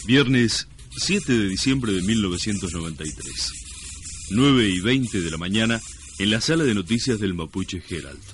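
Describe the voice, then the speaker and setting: An actor playing a pompous narrator in mock-solemn scripted narration for a comic radio drama. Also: male voice, fairly low pitch, lively intonation, normal speed, close to an everyday voice. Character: pompous, easily distracted male radio-play narrator. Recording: noisy old radio broadcast.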